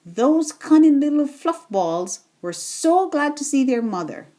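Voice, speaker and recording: A woman reading a children's bedtime story in an expressive, deliberate storytelling manner. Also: high voice.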